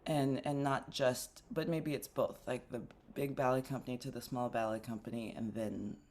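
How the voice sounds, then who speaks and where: A woman's voice: extremely low pitch, fairly even intonation, normal speed, darkish tone. A young woman from the Philippines, a dancer and artistic director, talking reflectively in a casual interview with many fillers and restarts.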